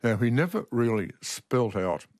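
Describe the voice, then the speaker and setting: A man speaking as an interviewed author and commentator, deliberate and emphatic, repeating key phrases for stress. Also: medium pitch, big pitch swings, a little mellow, dry recording, clean studio sound.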